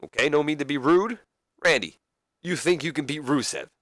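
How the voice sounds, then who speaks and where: A man voicing an excitable older wrestling emcee who placates rivals, halting and repeating his words. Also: fairly high pitch, no echo, clean studio sound, pitched above the performer's own voice. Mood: placating.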